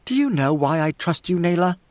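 In a roomy, slightly muffled recording, a young woman rebel leader played by a male narrator speaks in a raised pitch. She is cool and exacting, issuing probing questions and orders with measured, imperious authority, as in a science fiction audiobook. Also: animated.

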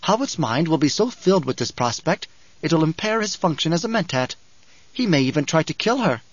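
A man voicing a coolly calculating, sly adviser in an audiobook, speaking in a precise, measured, smug manner. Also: fairly high pitch, sing-song, breathy edge, dry recording, muffled recording, voice pushed up. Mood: smug scheming. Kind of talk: audiobook character acting.

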